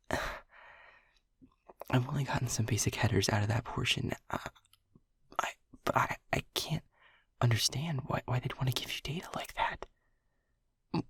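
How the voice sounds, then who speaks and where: A man voicing an anxious, devoted technician lover who whispers his pleas in a husky, creaky voice and stammers as he talks. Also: medium pitch, fairly bright tone, dry recording. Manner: hesitant and stammering, pleading. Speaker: audio roleplay performer. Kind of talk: intimate whispered audio roleplay.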